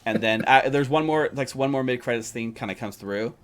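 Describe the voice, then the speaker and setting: A man's voice: mid-range voice, brisk. A man narrating a tabletop game as game master in a casual, improvised, rambling way. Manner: rambling, peppered with "like" and "you know".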